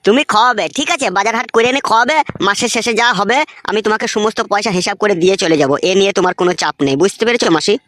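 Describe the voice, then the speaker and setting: A man voicing a brash, sweet-talking village philanderer in a cartoon, with a pitched-up voice. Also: rapid-fire, hardly any pauses, bright, forceful tone, no room sound, clean studio sound. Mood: brash, casual.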